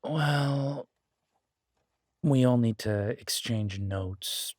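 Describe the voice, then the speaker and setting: A man's voice: mid-range voice, drawn-out words, darkish tone, no echo, clean studio sound. A man playing an easygoing, affectionate werewolf biker in an intimate audio drama, talking casually and hesitantly to a partner. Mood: reassuring, casual. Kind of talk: intimate roleplay audio drama, one-sided conversation.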